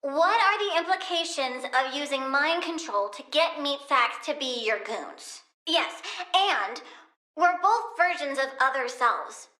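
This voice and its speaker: Bright, high-pitched curious plant girl. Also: animated, measured pace, reverberant, full character voice. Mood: earnest, curious. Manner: inquisitive, chatty, sassy, childlike bluntness. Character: curious, precocious young plant-powered girl, blunt, playful, needy. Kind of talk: video game character dialogue.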